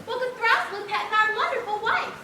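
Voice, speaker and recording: In an echoey hall, recorded from far off, a woman plays a sweet innocent melodrama daughter. Her voice is extremely high and piercingly bright, gushing with theatrical earnestness. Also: lively intonation, a little fast, exaggerated voice. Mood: happy gushing. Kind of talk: stage comedy acting. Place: theater stage, large auditorium. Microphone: far camera. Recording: echoey auditorium from far off, faint noise.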